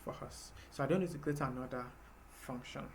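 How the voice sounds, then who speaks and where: A man's voice: mid-range voice, fairly quick, gravelly, a little hiss or hum. A man teaching a live programming class, explaining patiently step by step with fillers. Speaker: programming instructor.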